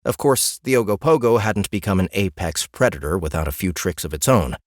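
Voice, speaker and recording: A man's voice: low-pitched, animated, fairly quick, no room sound. A man narrating a comic fantasy audiobook with wry, dry humor in a studio.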